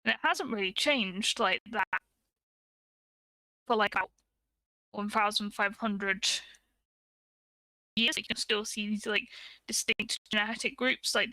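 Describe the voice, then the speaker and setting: A woman's voice: medium pitch, pushed and bright, dry recording, rough recording. A woman, explaining things casually as a co-host in an informal talk.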